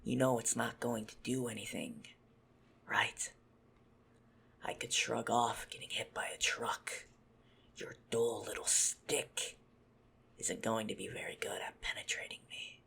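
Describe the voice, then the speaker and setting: A man playing a possessive, menacing, smug vampire stalker in an ASMR roleplay, haughty and teasing in a deliberate murmur. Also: medium pitch, fairly even intonation, vocal fry, a bit bright. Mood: mocking, haughty.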